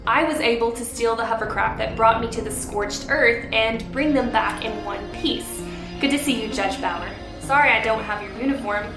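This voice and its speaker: A woman's voice: a little high, moderate pace, lightly acted. A woman acting a cool, capable, matter-of-fact hacker in an audio drama. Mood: dry, friendly. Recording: roomy echo, music underneath.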